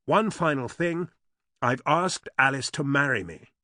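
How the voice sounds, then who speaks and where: A man's voice: medium pitch, animated, a little slow, bright, forceful tone, no room sound, clean studio sound. A male narrator voicing a confident man toasting his new fiancée with assurance. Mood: excited. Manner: beaming, assured. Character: confident, doting man announcing his engagement.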